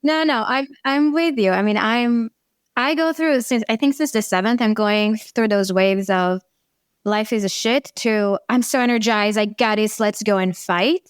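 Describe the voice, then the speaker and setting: A woman's voice: on the high side, unhurried, clear, resonant tone, no echo, clean studio sound. A woman in her forties with an Israeli accent, a therapist talking earnestly and animatedly in an interview. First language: Hebrew. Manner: earnest, animated, swearing now and then. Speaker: therapist guest. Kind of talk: conversational interview.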